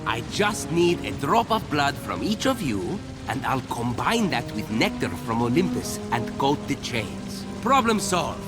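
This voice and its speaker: A man playing a confident, condescending young hero and older brother in a video game, smooth-talking and assertive. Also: medium pitch, sing-song, unhurried, few pauses. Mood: smugly confident. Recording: loud music behind the voice.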